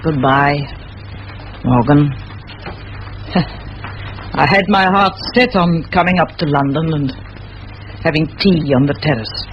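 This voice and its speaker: Determined spinster teacher, firm measured delivery, eloquent, vintage radio drama, dull hissy recording. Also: female voice, low-pitched. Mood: restrained, wistful. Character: determined, commanding spinster schoolteacher, middle-aged. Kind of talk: vintage radio play acting. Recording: muffled old radio recording, faint hiss.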